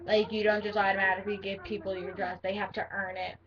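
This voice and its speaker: Teen girl vlogger, deep-voiced for her age, blunt and rambling, dull lo-fi home-video sound. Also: flat delivery, fast talker, far from the mic, crowd noise. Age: teens. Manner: blunt, rambling, lots of fillers. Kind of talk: casual talking-to-camera vlog.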